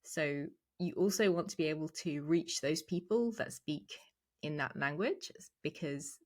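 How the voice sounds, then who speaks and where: Woman in her 30s, kinda low voice, chatty, lots of "so" and little laughs. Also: lively intonation, unhurried. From England. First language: Arabic. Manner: chatty, laughs often, frequent "so" and "like". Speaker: medical writer. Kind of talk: casual talk to camera.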